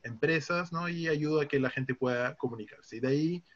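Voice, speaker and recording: A man from France, a guest on a casual podcast, explaining things calmly with frequent hesitations. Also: on the high side, a little fast. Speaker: guest, conference interpreter. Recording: dull lo-fi recording.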